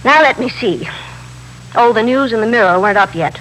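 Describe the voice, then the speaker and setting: An actress in a 1950s radio drama playing a talkative, excitable middle-aged widow who runs a candy store, repeating herself in simple, slightly broken grammar. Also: female voice, a little high, fairly quick. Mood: animated, insistent. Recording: noisy vintage radio broadcast recording.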